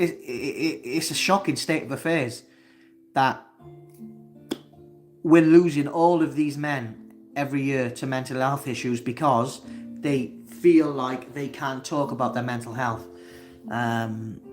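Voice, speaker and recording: Earnest Welsh man, halting and heartfelt. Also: medium pitch. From Wales. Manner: earnest, halting, many fillers and restarts. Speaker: channel host. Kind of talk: solo livestream talk. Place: indoors, seated facing camera.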